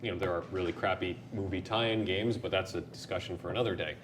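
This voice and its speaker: A man's voice: low-pitched, steady tone, quick pace, a little hiss or hum. A man hosting a casual, opinionated panel chat, emphatic and jokey, with playful exasperation. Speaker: host of a video game and movie discussion show.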